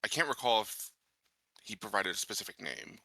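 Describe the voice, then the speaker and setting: A man's voice: lowish voice, animated, normal speed, vocal fry, pushed and bright, dry recording. A man speaking as a player in a tabletop roleplaying game, in casual conversation.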